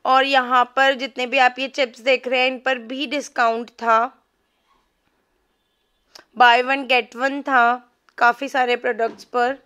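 A woman's voice: high-pitched, little pitch movement, slow, long pauses, pushed and bright, smooth. A woman giving a casual, chatty vlog voice-over, mixing in English words. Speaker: shopping vlogger.

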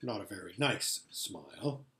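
A middle-aged man reading a storybook aloud in a measured, expressive way. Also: sits low. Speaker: pastor reading books aloud. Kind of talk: storybook read-aloud narration. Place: furnished living room indoors. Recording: roomy home webcam sound.

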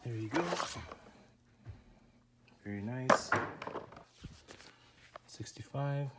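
A man's voice: mid-range voice, drawn-out words, very noisy. A man casually commenting while he unboxes and tests adjustable dumbbells, repeating short stock phrases. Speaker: product reviewer. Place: room with foam floor mats, indoors.

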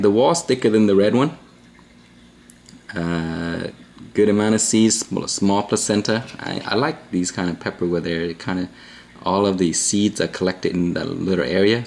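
A man's voice: deep voice, creaky, slight background noise. A man in his thirties hosting a casual pepper-review vlog, talking easily with lots of ums, uhs and restarts, filmed at a table indoors. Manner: easygoing, rambling with many fillers and restarts. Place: small indoor room at a table.

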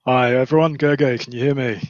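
A man's voice: mid-range voice, fairly even intonation, fast, dry recording. Upbeat male host over a dry headset. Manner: upbeat, welcoming. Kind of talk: moderating a fireside chat. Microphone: headset on video call. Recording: dry, dull lo-fi call audio.

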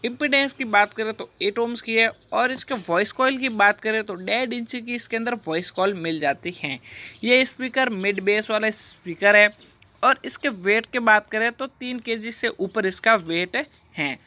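A bright, forceful voice narrates a product explainer. The delivery is scripted and formulaic, moving through details as a repeated list in the same pattern each time. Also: mid-range voice, moderate pace. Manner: repetitive, formulaic listing.

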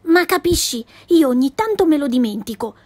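A cheerful little girl full of tall tales, put on by a woman reading a storybook. Her voice rides high and bouncy, with plenty of exclaiming. The recording is dry and close. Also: expressive, moderate pace, darkish tone, dry recording. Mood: boastful.

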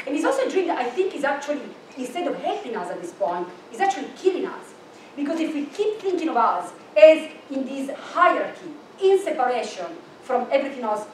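Middle-aged woman keynote speaker, high lively voice, far-off echoey lecture room. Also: slight background noise. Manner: animated, gesturing, thinking aloud with restarts. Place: large university lecture room. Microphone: far camera in the audience.

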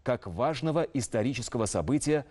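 A man in his thirties reading scripted news as a studio anchor, in a measured, official manner. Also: medium pitch, expressive, warm tone.

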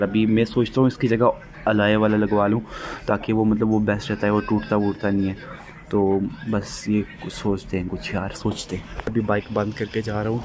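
A young man from Pakistan, chatting casually to viewers as he rides, recorded on a bike-mounted action camera outdoors. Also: on the low side. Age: young adult. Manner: chatty, talks to viewers while riding. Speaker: vlogger. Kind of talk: casual motovlog chat. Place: outdoors on city streets. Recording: street and traffic noise behind. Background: traffic noise.

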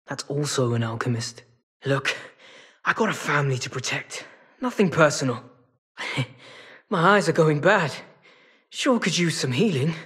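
A sly feline rogue from a video game. The voice sits fairly high for a male and has a husky rasp. He talks in a wry, casual, streetwise drawl, like a weary hustler who is always bargaining. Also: fairly bright tone, heavily acted. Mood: weary, pleading. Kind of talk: video game character acting.

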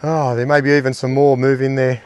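A middle-aged man hosting a fishing show, giving excited, exclaiming commentary from a small boat. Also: mid-range voice, fairly quick, highs cut off. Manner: enthusiastic, exclaiming, talks to the fish and his mate.

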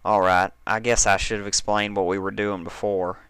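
A man's voice: fairly low pitch, flat delivery, moderate pace, a bit bright, clean tone, no room sound. A man voicing a practical, self-assured female farm pony in a story reading, explaining things with huffy patience. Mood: matter-of-fact. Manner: explanatory, huffy, matter-of-fact.

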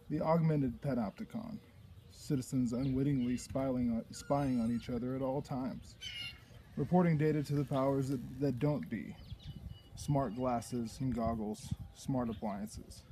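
A man's voice: medium pitch, warm tone. A man in his thirties reading his own poetry aloud. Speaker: poet reading own work. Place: outdoors in a backyard. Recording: faint outdoor background noise, birds.

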